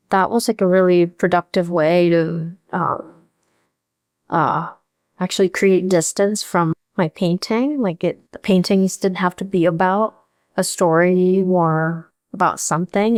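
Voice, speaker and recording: A woman painter, a native Korean speaker, answering interview questions thoughtfully with many pauses and fillers. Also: fairly low pitch, darkish tone, no room sound, clean studio sound.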